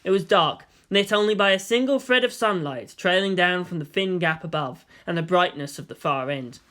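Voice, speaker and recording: Fan fiction audiobook narrator: mid-pitched, scripted, expressive storytelling delivery. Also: normal speed.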